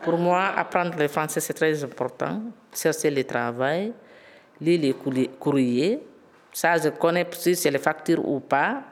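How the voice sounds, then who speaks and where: A man's voice: a little high, a little slow, big-room sound. A man learning French, a parent, giving a testimonial and stumbling over repeated words. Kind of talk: spoken testimonial.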